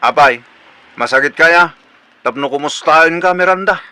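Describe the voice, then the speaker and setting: Actor as a high-voiced cheeky young son. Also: male voice, normal speed, pushed and bright, smooth, dry recording. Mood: irritated. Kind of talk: Ilocano radio comedy drama acting.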